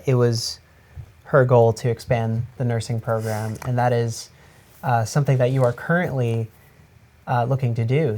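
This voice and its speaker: A man's voice: medium pitch, darkish tone. A man hosting an interview podcast, asking questions in a conversational style.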